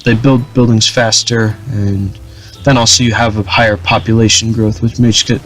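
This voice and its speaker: A young man casually commenting over his strategy game, rambling with many fillers and restarts in a flat, dull-sounding voice. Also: on the low side, normal speed, faint music behind. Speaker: gaming channel host.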